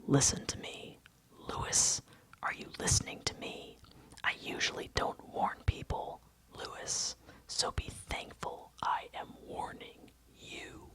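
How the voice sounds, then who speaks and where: A woman's voice: low voice, takes it slowly, gravelly, long pauses, full character voice, poor audio. A woman playing a cold, exasperated man with a vicious temper, threatening in hisses and snarls for an audiobook. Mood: quiet menace. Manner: threatening, hissing whispers to forceful snarls.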